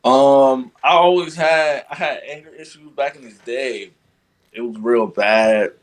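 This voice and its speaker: Young male podcast guest, originally from Guyana; relaxed, filler-heavy conversational delivery. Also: medium pitch, unhurried. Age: young adult. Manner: relaxed, lots of fillers like "you know what I'm saying". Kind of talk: casual conversation.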